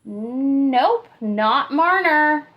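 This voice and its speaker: A woman's voice: sits high, lively intonation, very slow, lo-fi sound. A woman hosting a hockey trivia quiz on a podcast in casual, conversational talk.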